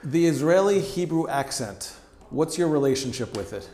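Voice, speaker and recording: A man's voice: a little high, lively intonation, normal speed, dark tone. A man hosting an interview, asking short questions and dropping brief acknowledgments. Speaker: podcast host and interviewer. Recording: echoey room sound.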